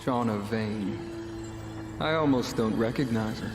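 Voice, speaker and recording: An actor playing a grim, world-weary demon hunter in a motion comic, brooding and measured, protective and wry. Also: male voice, medium pitch, natural voice. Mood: wistful, resolute. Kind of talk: dramatic motion comic voice acting. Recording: echoey voice over music. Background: music.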